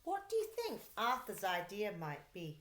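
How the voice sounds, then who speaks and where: A woman reading a children's storybook aloud like a teacher, in an expressive, deliberate way. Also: mid-range voice. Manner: animated, deliberate storytelling delivery. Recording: roomy, echoey sound.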